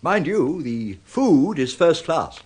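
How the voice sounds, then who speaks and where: Radio drama: fussy older English clerk, slightly high voice, lively intonation, courteous yet touchy. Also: male voice, takes it slowly, breathy edge, full character voice. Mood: prickly, guarded. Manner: polite, hesitant, stiffly formal. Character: fussy, prickly older male clerk, polite and proud. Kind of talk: British radio drama acting.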